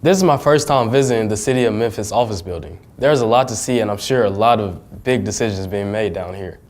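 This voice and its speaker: A man's voice: fairly low pitch, fairly quick, a little mellow. An American man working as an interviewer, delivering scripted introductions and prepared questions. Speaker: interviewer, host.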